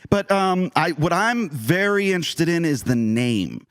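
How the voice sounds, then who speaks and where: A man's voice: fairly high pitch, very animated, a little slow, clean tone. A man co-hosting a podcast as a director, chatting casually in an enthusiastic, joking way. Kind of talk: casual chat.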